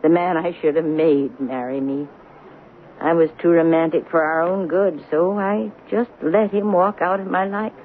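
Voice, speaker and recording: An actress playing an elderly, pious and romantic spinster in a 1970s radio drama, speaking precisely and reflectively with gentle firmness. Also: female voice, lowish voice, fairly even intonation, normal speed, lightly acted. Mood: wistful. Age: older. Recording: narrow, noisy old radio broadcast.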